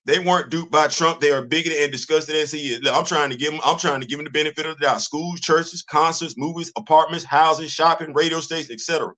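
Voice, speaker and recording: A man in his fifties hosting a casual livestream, talking in an animated, preachy way, often repeating words and restarting his sentences. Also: fairly high pitch, fast, hardly any pauses. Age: 50s. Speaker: host of a commentary livestream. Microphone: phone.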